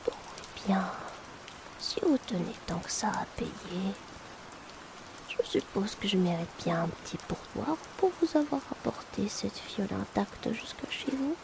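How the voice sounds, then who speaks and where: A polite young-spirited potion delivery assistant played by a woman speaks in a crackly, often whispered ASMR roleplay voice. The manner is hesitant and confiding, chatty yet discreet. Rain ambience sits clearly behind the voice. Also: mid-range voice, a little slow. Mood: friendly, playful.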